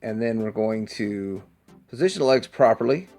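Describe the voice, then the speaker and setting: A man's voice: fairly low pitch, expressive, moderate pace, creaky, darkish tone. Casual man reviewing toys over music. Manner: rambling, thinking aloud as he handles things. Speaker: toy review channel host. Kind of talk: casual hands-on product review.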